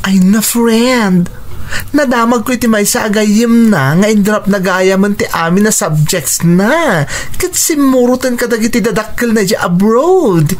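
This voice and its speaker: A woman's voice: medium pitch, measured pace, slight background noise, natural voice. A woman playing a blunt, gossipy best friend in a radio drama, exclaiming dramatically in an Ilocano accent. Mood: gossipy, concerned.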